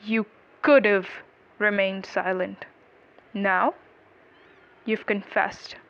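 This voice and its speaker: A woman narrator voicing a stern, cold prosecuting lawyer in a dark story, measured and admonishing. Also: mid-range voice, lo-fi sound.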